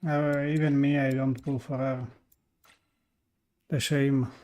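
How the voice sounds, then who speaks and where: A game streamer giving casual, halting live commentary with mumbled asides. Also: medium pitch, little pitch movement, warm tone.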